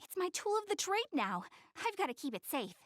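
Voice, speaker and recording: A woman playing a proud, self-conscious young goddess girl in an anime-style game, expressive, easily flustered and earnest. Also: very high voice, a little fast, dry recording, clean studio sound, full character voice. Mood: bright, excited.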